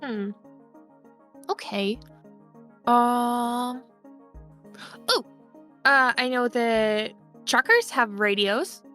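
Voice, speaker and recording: A woman's voice: a little high, drawn-out words, pauses often, fairly bright tone. A woman playing a tabletop game, chatting casually in character and interrupting herself as she improvises. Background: background music.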